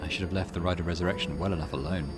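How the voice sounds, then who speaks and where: A man's voice: deep voice, fairly even intonation, very fast, music in the background, natural voice. A man playing a regretful dying man resigned to hell, solemn and brooding, in a visual novel. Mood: bitter regret. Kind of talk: dramatic visual novel reading.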